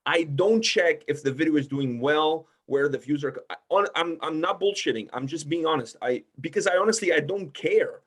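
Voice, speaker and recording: A man with a fairly high voice talks in a casual roundtable. He is blunt and emphatic and peppers his talk with swearing. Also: expressive, normal speed, hardly any pauses, a bit bright. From Portugal. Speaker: music interviewer with own video channel.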